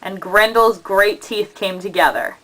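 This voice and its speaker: A woman narrates an epic tale with a solemn, measured delivery. Her pace is slow and her pitch sits in the middle, as a storyteller for a puppet show. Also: expressive, bright, forceful tone, rough recording.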